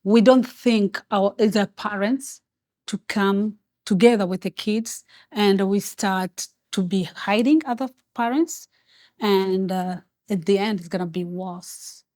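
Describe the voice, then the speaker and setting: Woman from Tanzania, mother, earnest, unhurried delivery, dry close sound. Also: medium pitch, fairly even intonation, darkish tone, dry recording. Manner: earnest, pleading with kids to open up. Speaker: parent. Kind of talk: community discussion.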